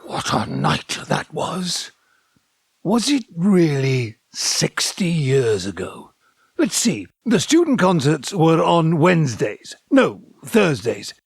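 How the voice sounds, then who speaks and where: An actor playing a besotted, sentimental man in an audio drama, halting and musing, with breathy exclamations. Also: fairly high pitch, very animated, no room sound, studio quality, full character voice. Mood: wistful. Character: besotted sentimental man, aged twenty and reminiscing at eighty.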